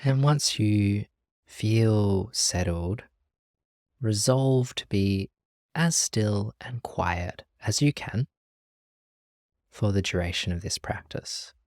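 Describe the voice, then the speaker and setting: A meditation teacher leading a guided practice in a gentle, calm, measured way with long pauses. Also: medium pitch, dry recording, clean studio sound.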